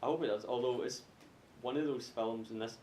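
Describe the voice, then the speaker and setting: A man chatting casually about films on a podcast in a halting way, swearing freely. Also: medium pitch, a little mellow. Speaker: podcast panelist. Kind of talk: casual film chat. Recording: echoey room, faint noise.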